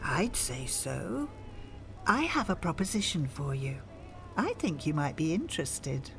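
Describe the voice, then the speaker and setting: Posh-mannered middle-aged mother, bossy and arch, slow talker, very expressive pitch, radio soap. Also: faint music behind, heavily acted. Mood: arch, scheming. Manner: formal, arch, measured. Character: imperious, scheming, genteel older mother.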